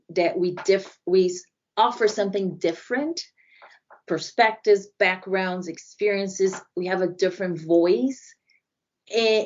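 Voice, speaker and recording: A woman's voice: medium pitch, a little mellow, highs cut off. Brazilian-accented woman explaining earnestly. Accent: Brazilian Portuguese. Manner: earnest, explanatory, frequent restarts. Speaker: inclusion consultant, guest. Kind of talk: podcast interview.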